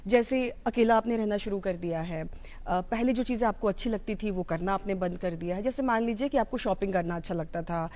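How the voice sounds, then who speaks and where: A woman's voice: medium pitch, fairly quick, darkish tone. A woman with an Indian accent giving an informal, explanatory educational talk, mixing in English terms. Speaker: psychology educator.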